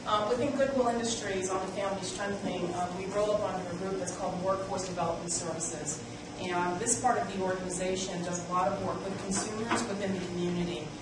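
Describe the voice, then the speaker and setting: A woman's voice: mid-range voice, steady tone, fast talker, hardly any pauses, very noisy. Woman with a big voice in an echoey hall. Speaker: guest presenter. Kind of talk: conference talk. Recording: echoey room, mic from far off.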